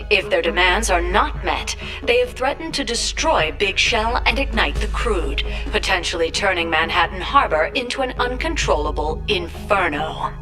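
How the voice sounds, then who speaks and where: An actress playing a television news field reporter in a video game, with a formal, emphatic delivery. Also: female voice, mid-range voice, lightly acted. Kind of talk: live news report acting.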